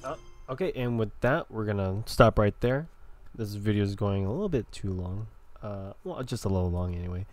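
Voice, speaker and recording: A man's voice: on the low side, lively intonation, a little fast. A man giving casual gameplay commentary as a let's play host, offhand and rambling.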